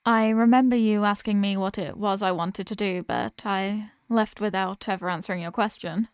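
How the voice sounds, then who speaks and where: A woman playing a remorseful villain and former hero, confessing to a lost love in a halting, earnest dramatic monologue. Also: mid-range voice, steady tone, clear, resonant tone, no echo, studio quality, lightly acted. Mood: hesitant. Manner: halting, earnest, pausing between phrases. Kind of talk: dramatic audio roleplay monologue, voicemail confession.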